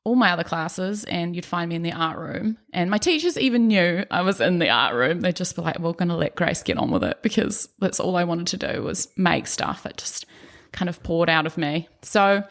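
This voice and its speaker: A young woman, a guest artist on a podcast, talking casually about her life and scattering her speech with "um" and "yeah". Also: lowish voice. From New Zealand, born in England. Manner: relaxed and chatty, full of fillers. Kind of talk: casual interview chat.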